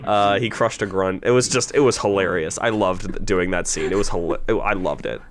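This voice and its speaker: A young man hosting a casual commentary chat, eager and quick to repeat his words as he starts sentences. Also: low-pitched. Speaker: podcast host and voice actor.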